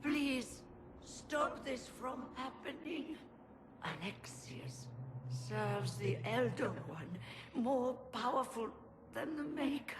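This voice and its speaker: An actress playing a weakened, desperate female mage in a video game, speaking in halting, strained phrases. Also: high-pitched, animated, background noise, full character voice. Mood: desperate pleading. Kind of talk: video game cutscene acting.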